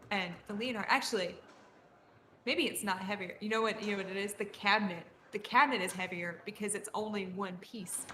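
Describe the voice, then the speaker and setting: A woman's voice: medium pitch, fairly quick, bright, forceful tone. A woman co-commentating live table soccer in a conversational, knowledgeable way. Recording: live venue noise behind.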